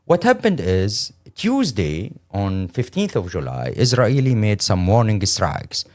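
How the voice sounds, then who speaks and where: A middle-aged man from Syria with a Syrian Arabic accent, speaking English as a second language in an earnest, halting, emphatic interview account. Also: fairly low pitch, wildly swooping pitch, a little mellow. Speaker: interview guest, lawyer and humanitarian.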